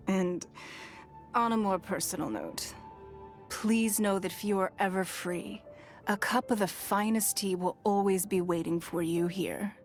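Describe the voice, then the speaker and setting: A woman voicing a poised, shrewd, wealthy young businesswoman in a fantasy video game, with formal, measured and elegant delivery. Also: mid-range voice. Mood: gracious. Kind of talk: scripted video game character voice lines. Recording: music behind the voice. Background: music.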